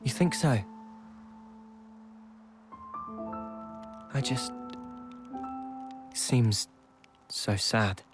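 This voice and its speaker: A man playing a gentle, weary, reflective young soldier in a game drama, subdued and measured. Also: medium pitch, animated, over music. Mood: weary, sad. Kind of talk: dramatic game cutscene acting.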